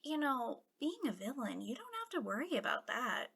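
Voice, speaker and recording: A woman voicing a bitter, taunting female villain, a fallen hero, in an ASMR roleplay, breathy and often dropping into whispers, with a mocking, conspiratorial drawl. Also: sits high, very animated, normal speed, a bit bright, exaggerated voice.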